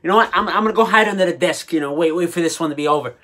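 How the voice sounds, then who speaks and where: A woman hosting a comedy show with casual, sarcastic reaction commentary, exasperated and halting as she repeats herself. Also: lowish voice, a bit bright. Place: small indoor room full of collectibles.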